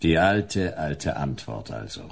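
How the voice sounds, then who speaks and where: A man playing a gruff, hard-boiled detective in a sci-fi radio drama, terse and laconic with a gravelly voice. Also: extremely low pitch, very animated, takes it slowly, no room sound, heavily acted. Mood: weary. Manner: terse, laconic noir delivery. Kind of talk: German sci-fi radio drama acting and narration.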